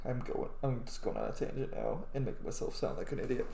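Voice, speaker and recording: A man's voice: medium pitch, very animated, fast, gravelly, reverberant, noisy background. A man giving casual, rambling, opinionated gameplay commentary as a streamer, swearing freely. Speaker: streamer playing a video game.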